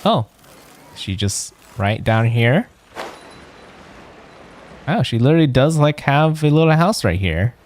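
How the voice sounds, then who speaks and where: A man's voice: mid-range voice, very animated, unhurried, long pauses, a little hiss or hum. A man giving casual, rambling let's-play commentary as a game streamer, musing aloud.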